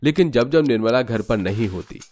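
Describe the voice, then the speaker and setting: A man's voice: mid-range voice, expressive, moderate pace, darkish tone, a little hiss or hum. A man narrating a scripted cartoon story as a storyteller.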